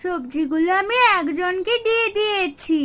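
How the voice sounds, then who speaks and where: Very high-pitched sweet little girl. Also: animated, normal speed, a bit bright. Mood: earnest. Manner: earnest, coaxing, affectionate. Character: kind, caring little village girl, cheerful and trusting. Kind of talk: animated fairy-tale dialogue. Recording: music behind the voice.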